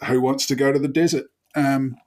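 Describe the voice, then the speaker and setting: A man's voice: medium pitch, little pitch movement, brisk, darkish tone. A man chatting casually as a player in a tabletop game, wry and playfully formal in his wording. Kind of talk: casual improvised game chat.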